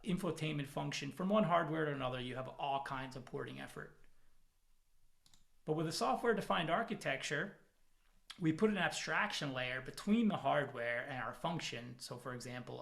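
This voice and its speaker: Gravelly man giving a relaxed tech talk. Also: a little high. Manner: relaxed, self-deprecating, with frequent fillers. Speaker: product manager giving a conference talk. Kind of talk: technical presentation. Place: home, in the evening.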